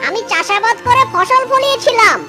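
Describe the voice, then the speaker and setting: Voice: extremely high pitch, animated, brisk. An actor voicing a sly, greedy, lazy male cartoon fox, with an exclamatory, cajoling manner. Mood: scheming. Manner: exclamatory, cajoling, catchphrase-laden. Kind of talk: exaggerated children's cartoon acting. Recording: music behind the voice.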